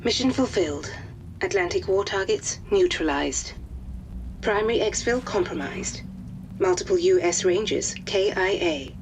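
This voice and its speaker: An actress playing a formal, clipped wartime intelligence officer reading a mission report in a video game. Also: female voice, mid-range voice, darkish tone, close to an everyday voice, poor audio. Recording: clear background noise.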